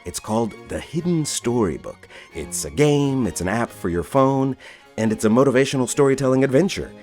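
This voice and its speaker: A man's voice: medium pitch, lively intonation, fairly quick, darkish tone. Man hosting a podcast over music. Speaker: podcast host. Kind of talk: podcast intro and casual conversation. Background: music behind the voice.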